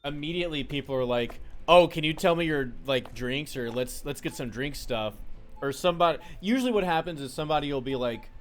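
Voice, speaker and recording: A young man in his twenties with a deep voice, a bartender chatting casually and telling rambling stories, swearing freely. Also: lively intonation, a little fast, faint music behind. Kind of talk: casual chat while playing a game.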